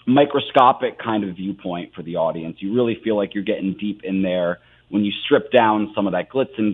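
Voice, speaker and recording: A tinny, phone-like recording of an American man in his thirties being interviewed. His voice sits a little low, and he explains things in an animated, earnest, conversational way. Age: 30s. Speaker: theater artist, interview guest.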